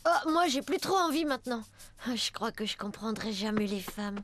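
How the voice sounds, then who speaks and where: A woman's voice: on the high side, expressive, moderate pace, fairly bright tone, a little hiss or hum. A woman voicing a cocky, swaggering, condescending schoolboy ninja in a cartoon. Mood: sulky. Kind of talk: cartoon voice acting.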